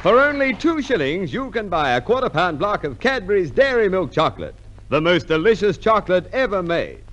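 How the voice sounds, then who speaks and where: A man's voice: high-pitched, sing-song, a little fast, a bit bright, a little hiss or hum. A man announcing a scripted 1950s radio advertisement. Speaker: commercial announcer. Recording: dull 1950s radio recording.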